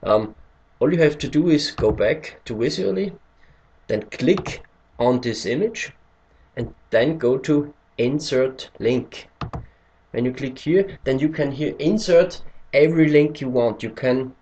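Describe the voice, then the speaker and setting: Slow, halting Austrian man. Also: medium pitch, expressive, a little mellow. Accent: Austrian German. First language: German. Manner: hesitant, searching for English words. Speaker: tutorial maker. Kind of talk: screen-recorded tutorial.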